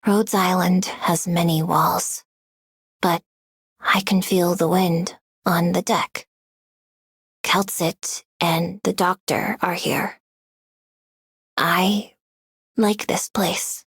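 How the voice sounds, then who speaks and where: A dreamy, quiet video game character. Her lowish voice is breathy and husky, and she speaks extremely slowly and serenely. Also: fairly even intonation, full character voice. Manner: unhurried, serene.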